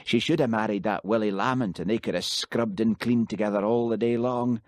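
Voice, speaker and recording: A man's voice: lowish voice, steady tone, a little fast, a little mellow, no echo, studio quality, voice pushed up, natural voice. A man voicing a gloomy, henpecked middle-aged man who grumbles about his wife's endless washing. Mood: gloomy grumbling.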